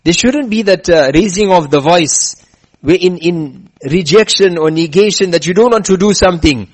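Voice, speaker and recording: A man with a rather high voice delivers a religious talk. He slows down to stress his lessons and tells a story as he goes. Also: rough recording. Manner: emphatic, slows down to stress points. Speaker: preacher. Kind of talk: religious lecture with chanted scripture.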